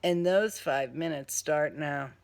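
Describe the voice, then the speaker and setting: A woman's voice: low-pitched, takes it slowly, creaky, darkish tone, heavily acted. A woman playing a blunt, slangy, sarcastic heroine who stands her ground in a crime audiobook. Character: defiant, sarcastic streetwise woman working for police.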